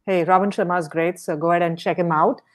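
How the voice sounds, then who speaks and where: A woman's voice: lowish voice, steady tone, fast talker. A woman hosting a podcast, asking questions conversationally in an affirming, encouraging way. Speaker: podcast host, interviewer.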